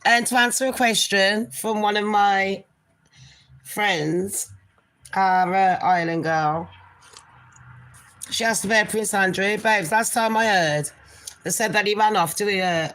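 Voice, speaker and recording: A woman's voice: lowish voice, slight background noise. Nasal woman chatting to viewers. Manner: chatty, rambling, calls viewers people and darlings. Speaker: gossip livestream host. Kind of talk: casual live chat to viewers.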